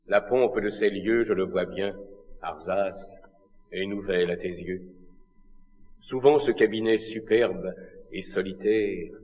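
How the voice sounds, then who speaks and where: Anguished lovelorn king in echoing hall. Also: low voice. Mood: stately. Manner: declamatory, solemn classical French verse delivery. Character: lovelorn Eastern king, noble, anguished, hopelessly devoted. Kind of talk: classical tragedy stage acting. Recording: muffled archive recording, long hall reverb.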